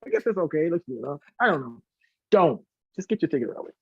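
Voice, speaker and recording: A man chatting casually as a guest singer, talkative and effusive, rambling excitedly about his plans and fellow performers. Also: fairly high pitch, quick pace, breathy edge, dry recording, muffled recording. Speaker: guest, singer and concert organiser. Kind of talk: casual live chat, talkative promotion.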